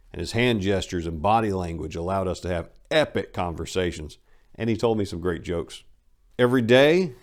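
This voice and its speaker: Low-voiced man giving a dramatic reading. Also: a little mellow. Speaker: channel host and reader.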